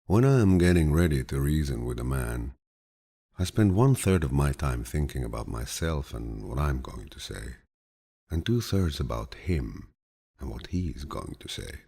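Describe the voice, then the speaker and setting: Male audiobook narrator, extremely low and husky, soothing grandfatherly delivery, measured but with expressive swings in pitch. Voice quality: deep, low, gravelly, husky voice. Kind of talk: calm, measured audiobook reading of quotations.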